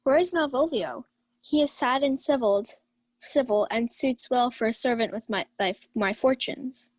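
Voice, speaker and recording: A woman's voice: a little high, normal speed, lightly acted. A woman playing a proud, lovestruck young lady of the house in a Shakespeare radio play, formal and declamatory. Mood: puzzled, commanding. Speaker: student actor.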